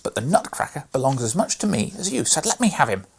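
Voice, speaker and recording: A man voicing a rough, scornful young boy in a read-aloud storybook, speaking loudly. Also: fairly high pitch, very animated, quick pace, voice pushed up, full character voice. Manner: loud, scornful.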